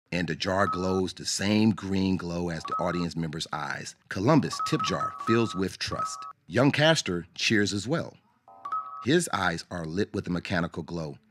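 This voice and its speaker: A man narrating a scripted radio drama as a storyteller, in a measured, dramatic way. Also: sits low.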